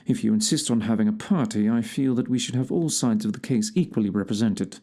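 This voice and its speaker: A man's voice: mid-range voice, fairly quick, warm tone, natural voice. A man acting a shrewd, composed, commanding detective in a formal, precise audio theatre style. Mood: composed, pointed.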